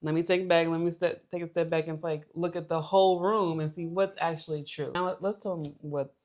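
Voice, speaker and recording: Female co-host, low register, conversational explainer for a relationship show. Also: fairly even intonation, a little fast, darkish tone.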